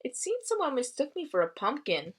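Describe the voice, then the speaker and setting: A woman voicing a wise old female tree, measured and philosophical with dry humor, in a storybook reading. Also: high voice, huge pitch swings, slight background noise, pitched above the performer's own voice. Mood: dry joking. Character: wise old female tree, calm, optimistic, gently joking.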